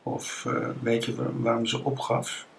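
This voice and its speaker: A man's voice: medium pitch, completely flat delivery, measured pace, creaky, slight background noise. A Dutch man speaking as an interviewer in a conversational way, with hesitant, reflective phrasing.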